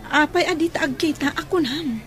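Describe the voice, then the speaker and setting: A woman's voice: high voice, moderate pace, a bit bright, a faint crowd, a little hiss or hum. A woman playing a plaintive, questioning young daughter in a radio drama, with an Ilocano Filipino accent. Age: young adult.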